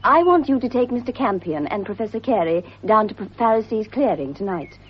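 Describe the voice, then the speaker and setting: An actress playing a kindly, confident young gentlewoman, polite and coaxing, in a 1950s British radio drama. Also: medium pitch, lively intonation, a little fast, close to an everyday voice. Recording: muffled, slightly noisy vintage radio recording.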